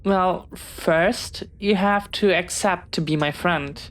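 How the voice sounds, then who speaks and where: Woman acting as slow-paced, bookish young pirate. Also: lowish voice. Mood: curious, friendly. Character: young pirate captain's child, bookish, eager, friendly. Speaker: audio roleplay performer. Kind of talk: one-sided audio roleplay acting.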